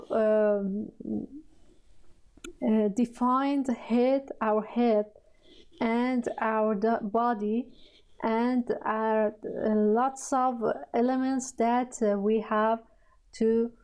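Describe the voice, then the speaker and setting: A woman with a Persian accent, a native Persian speaker, teaching a coding lesson in halting, hesitant speech full of fillers. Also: a little high, steady tone, darkish tone. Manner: halting, searching for words, many fillers. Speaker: programming tutor. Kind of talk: screen-recorded coding tutorial.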